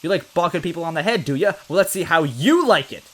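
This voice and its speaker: A man puts on a small female monster cop for a comic story. She is tough, sassy and easily outraged. Her voice jumps high and swings widely in pitch as she scolds. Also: expressive, fast talker, a bit bright. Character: small, angry, sassy female Goomba police officer.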